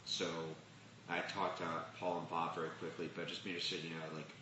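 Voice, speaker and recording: Deep-voiced man far off in echoey hall. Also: flat delivery. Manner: hesitant, drawn-out. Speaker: newcomer asking a question. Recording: distant, very echoey hall with noise.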